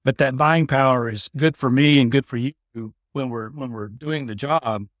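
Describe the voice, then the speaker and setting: A man's voice: medium pitch, normal speed, fairly bright tone, dry recording, studio quality. A middle-aged man, a veteran of his trade, explaining things in a casual conversation, often repeating words and asking "you know what I mean?". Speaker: guest, building automation integrator veteran.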